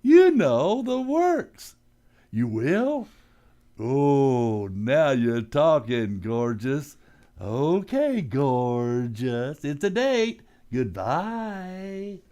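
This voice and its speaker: An actor playing a blustery, bumbling, well-meaning working-class dad in a live old-time radio comedy, with folksy, ungrammatical, theatrical talk. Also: male voice, mid-range voice, very animated, drawn-out words, mellow, clean tone. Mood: smooth-talking flirt.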